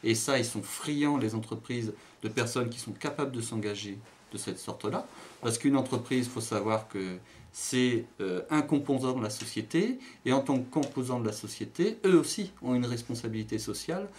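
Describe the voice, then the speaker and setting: Assured mid-pitched man giving his view. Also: lively intonation, moderate pace, darkish tone, slight background noise. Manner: assured, explanatory. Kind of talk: spoken interview opinion.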